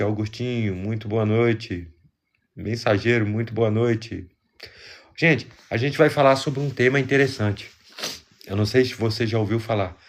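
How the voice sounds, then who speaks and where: A man with a fairly low voice and a Brazilian accent, congested from a cold. He hosts a live stream in a casual, chatty way, speaking directly to his viewers. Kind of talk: casual livestream chat, greeting viewers.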